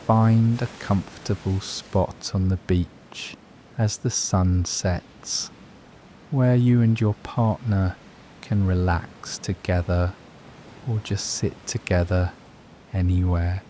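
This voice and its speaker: A man guiding a scripted meditation in a soothing, gentle, measured way, leaving long pauses between phrases. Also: deep voice, steady tone, a little hiss or hum. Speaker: meditation guide.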